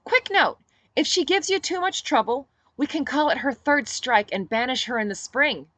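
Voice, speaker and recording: A woman voicing a sharp, bossy, sarcastic married woman in a story reading. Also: high-pitched, animated, moderate pace, dry recording, voice pushed up. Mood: mock-sour, teasing. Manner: snappy, sarcastic, emphatic.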